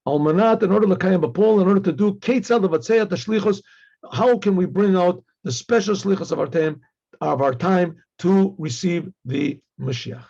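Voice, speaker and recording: Husky, high-pitched male rabbi teaching. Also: racing, dark tone, muffled recording. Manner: conversational, acts out dialogue, repeats phrases, frequent throat clearing. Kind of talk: informal religious lesson, reading Hebrew text and translating.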